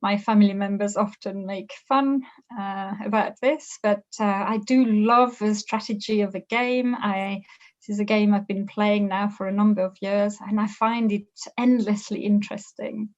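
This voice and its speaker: A middle-aged woman from France with a French accent, a business professor answering interview questions thoughtfully, pausing often. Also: mid-range voice, steady tone, muffled recording. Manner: thoughtful, with frequent hesitations and restarts.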